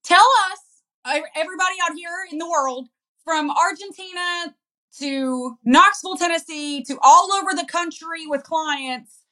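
A woman's voice: high-pitched, pushed and bright, low-quality recording. A woman hosting an interview show, upbeat and effusive as she introduces and questions her guest. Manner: effusive, gushing. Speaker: podcast host.

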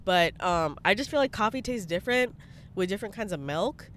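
A woman's voice: mid-range voice, lively intonation, fairly quick, a bit bright, slight background noise. A young woman with a congested voice, chatting bluntly in a casual vlog from her parked car, swearing freely. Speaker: vlogger.

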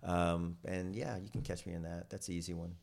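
A man's voice: deep voice, steady tone, fairly quick, vocal fry, a little mellow. A man talking casually as a podcast guest, in a wry, rambling way that often cuts into his own sentences. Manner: rambling, self-interrupting, wry. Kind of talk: casual conversational chat.

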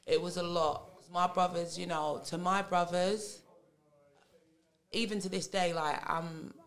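Candid London woman, lower voice. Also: a little slow. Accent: London English. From England. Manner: candid, laughing, animated storytelling. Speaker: podcast guest. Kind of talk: casual chat.

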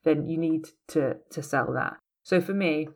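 A woman's voice: deep voice, a little slow. A woman working as an audiobook casting director, answering interview questions in an earnest, emphatic way with many restarts and "you know"s.

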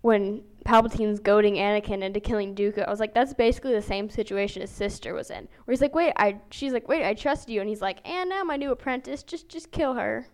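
A woman's voice: mid-range voice, quick pace, few pauses, a little mellow. A woman podcast guest chatting casually as a fan, playfully acting out what she retells.

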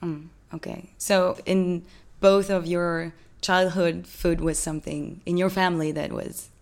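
A woman interviewing two company founders, asking long questions in a gentle, hesitant way. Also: lowish voice, level tone, a little slow.

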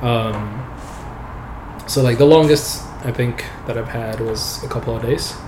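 A relaxed young man talks in a loose, rambling way, his words full of fillers and easy laughs. His first language is not English, and his pitch sits in a middling range. The room is echoey, and a steady hiss of noise runs behind him. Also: unhurried. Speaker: software developer guest. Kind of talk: casual interview chat.

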